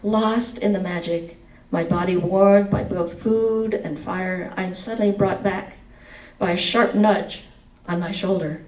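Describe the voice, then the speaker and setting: A woman's voice: on the low side, a little slow, reverberant, distant mic, poor audio. A woman in her forties reading aloud from her memoir with a headset mic, then answering audience questions. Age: 40s. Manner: expressive reading, sings snatches of song. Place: indoor meeting room.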